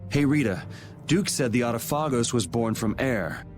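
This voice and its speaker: A man's voice: mid-range voice, lively intonation, moderate pace, natural voice. Man as a cool young hero over music. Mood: level. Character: cool, casual, teasing young swordsman hero. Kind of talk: video game voice acting.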